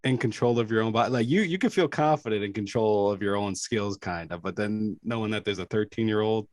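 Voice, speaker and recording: A man's voice: on the low side, a little fast, darkish tone, dry recording, studio quality. A man co-hosting a podcast, chatting casually about movies and swearing freely. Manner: casual, profane. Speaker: podcast co-host.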